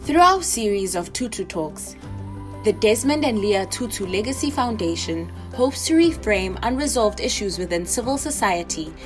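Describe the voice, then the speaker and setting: Woman reading a formal scripted intro, soft music under her. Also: medium pitch, expressive, moderate pace. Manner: formal, announcing. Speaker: narrator of the introduction. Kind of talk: scripted narration.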